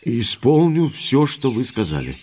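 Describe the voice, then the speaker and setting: An actor playing an earnest, uneasy baronet in an audio drama, speaking expressively and with some deference. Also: male voice, medium pitch, wildly swooping pitch, unhurried, darkish tone, slight background noise. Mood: resigned.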